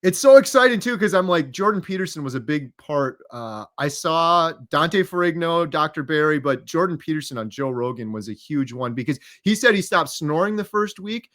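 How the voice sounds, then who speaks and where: A man chatting casually as an interview guest, telling things in an animated way and acting out his own thoughts. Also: a little high, moderate pace, few pauses.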